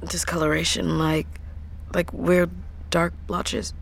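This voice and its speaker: A woman's voice: lowish voice, level tone, takes it slowly, gravelly, slight background noise. An actress playing an anxious young woman trucker in an audio drama, tough-talking and slangy, with hesitant, stammering speech. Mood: subdued, worried. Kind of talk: naturalistic audio drama acting.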